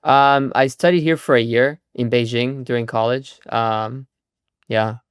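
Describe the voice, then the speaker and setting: A man in his thirties from Chile, a founder answering interview questions in a relaxed, chatty way. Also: mid-range voice, a little slow, smooth, no echo, clean studio sound. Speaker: guest, startup founder.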